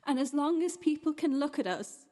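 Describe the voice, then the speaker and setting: An actress playing a fragile, image-obsessed wife and mother in a radio play, speaking in halting fragments. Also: high voice, animated, dark tone. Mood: wistful, insistent.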